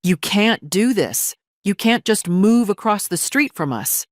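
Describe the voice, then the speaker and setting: Woman acting a shrill-edged furious younger daughter-in-law. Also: very animated, measured pace, no echo, studio quality. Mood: panicked anger. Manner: indignant, scolding. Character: angry, controlling younger daughter-in-law, close to panic.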